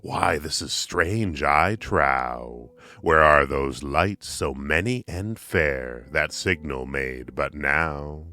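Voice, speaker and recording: Pious old hermit, acted by a male reader: very low, unhurried, archaic, bold and curious. Storybook verse. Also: sharp tone, exaggerated voice. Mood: wondering. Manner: archaic, exclamatory speech.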